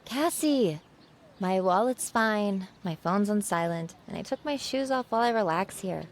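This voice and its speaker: A woman's voice: medium pitch, animated, moderate pace, lightly acted. A woman playing an unsure, self-doubting seventeen-year-old girl art student in an audio drama, with a youthful, hesitant manner. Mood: weary, exasperated.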